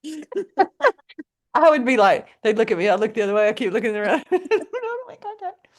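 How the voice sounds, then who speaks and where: An American woman with a low voice co-hosting a podcast, chatting casually and often laughing. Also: very animated, fairly quick. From United States. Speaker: podcast co-host. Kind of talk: casual chat.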